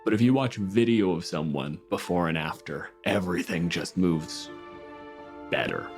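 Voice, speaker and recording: A man's voice: sits low, normal speed, faint music behind. A man running a tabletop roleplaying game as game master, chatting casually with the players.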